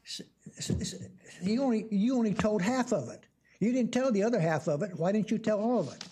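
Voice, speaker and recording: A man with a stutter questioning bluntly and argumentatively as a council member at a public meeting, repeating himself haltingly. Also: high voice, warm tone. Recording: noisy public meeting room recording.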